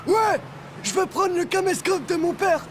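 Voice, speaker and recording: A man voicing a keen, slightly defensive schoolboy in a cartoon, in an excitable, childlike way. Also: very high voice, normal speed, a little hiss or hum. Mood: excited. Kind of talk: French animated cartoon voice acting.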